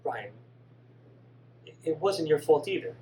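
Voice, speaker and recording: A man's voice: on the high side, very animated, unhurried, roomy sound. A man voicing a fierce grieving mother. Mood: tearful. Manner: sharp, emphatic scolding. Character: grieving middle-aged mother, fierce then tearful. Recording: distant mic with faint hum.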